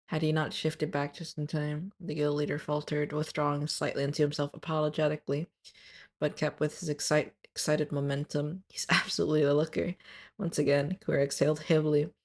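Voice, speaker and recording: A woman streamer reading her own fiction aloud, stopping often to fix her wording. Her voice is going hoarse. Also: low voice, level tone, a little fast, darkish tone. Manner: stops often to correct her wording, laughs at slips. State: losing her voice.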